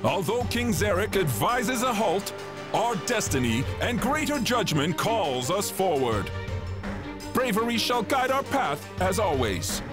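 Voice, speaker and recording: A man plays a loyal propaganda herald in a game, grandiose and pompous. The voice is high and swings widely in pitch as each scripted line is slowly declaimed. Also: big pitch swings, music in the background. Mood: triumphant. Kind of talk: scripted game news announcement.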